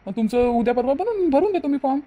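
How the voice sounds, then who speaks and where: A woman's voice: high-pitched, lively intonation, fast, lo-fi sound. A woman voicing a sly young male swindler in a Marathi cartoon, with a rural Vidarbha accent and pushy, reassuring patter. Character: sly young male con man, persuasive, falsely reassuring. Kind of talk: Marathi cartoon moral-story dialogue.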